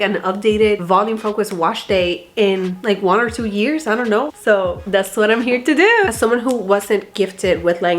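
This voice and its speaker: Chatty young woman with congested nasal voice. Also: medium pitch. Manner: chatty, slangy, animated with swearing and laughs. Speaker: beauty vlogger. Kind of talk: casual hair tutorial vlog.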